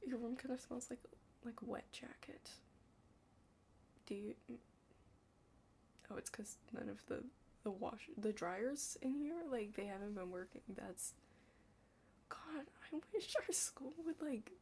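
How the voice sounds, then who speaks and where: A young adult woman doing an ASMR roleplay as a study partner, chatting casually in a hushed, halting, rambling way with lots of "like" and some whispering. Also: on the high side, vocal fry, slight background noise. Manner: rambling, halting, heavy use of "like".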